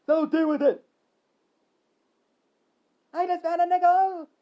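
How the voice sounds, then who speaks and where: A storyteller voicing hot-tempered skeleton henchmen who bicker and shout indignantly. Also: very high-pitched, fairly even intonation, slow, dull audio, voice pushed up.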